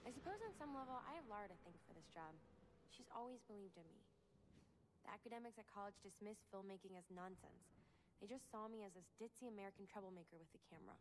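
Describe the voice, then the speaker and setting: A woman's voice: mid-range voice, fast talker, a little hiss or hum, natural voice, low-quality recording. Actress as chatty, bubbly young woman. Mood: playful, fond. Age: young adult. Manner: chatty, playful, confiding. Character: bubbly, adventurous young American woman filmmaker. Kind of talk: video game journal voice-over. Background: music.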